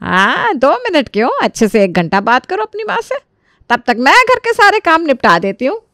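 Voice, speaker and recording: A woman's voice: high voice, big pitch swings, moderate pace, bright, forceful tone, no room sound, studio quality, full character voice. A woman voicing a bossy, sarcastic older mother-in-law who scolds and nags in a dramatised story.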